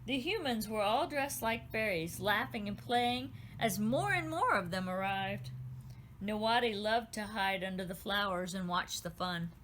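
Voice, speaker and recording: A woman's voice: medium pitch, unhurried, slight background noise, low-quality recording. Woman reading a storybook. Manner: gentle, expressive storytelling. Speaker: author reading her book. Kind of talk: storybook read-aloud.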